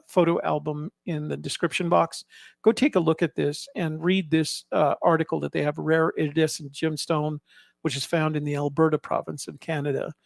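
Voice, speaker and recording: A man giving casual, explanatory commentary as a vlog host, in a halting way, often repeating and rephrasing himself. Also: on the high side, vocal fry, no echo, clean studio sound. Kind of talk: casual narrated photo commentary.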